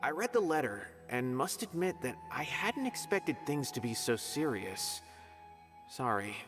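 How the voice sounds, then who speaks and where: A man's voice: medium pitch, sing-song, unhurried, over music. An actor voicing an earnest young male scholar in a video game, explaining matters in a friendly, careful way.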